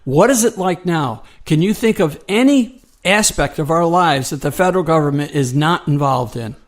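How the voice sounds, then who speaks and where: Higher-pitched American male co-host. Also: lively intonation, normal speed. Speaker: radio show co-host. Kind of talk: conversational political talk radio.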